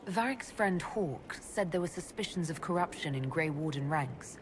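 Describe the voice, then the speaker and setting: A woman's voice: low-pitched, animated, normal speed, noisy background. An actress playing a resolute, caring female army leader in a video game, composed, earnest and direct. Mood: calm, curious. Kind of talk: dramatic video game dialogue.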